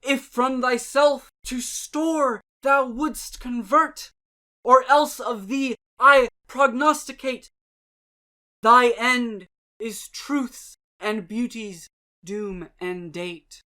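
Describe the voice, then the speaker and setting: A woman giving a dramatic reading of poetry and prose in a measured, deliberate, theatrical way. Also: a little high, sharp tone. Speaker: channel host and reader.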